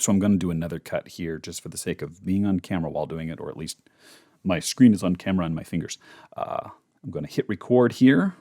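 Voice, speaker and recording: A man who works as a voice actor, teaching in a casual, conversational and emphatic way with demonstrations. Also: low voice. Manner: emphatic, conversational, checks in with "okay?". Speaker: voice actor giving a tutorial. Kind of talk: casual instructional talk with demonstrations.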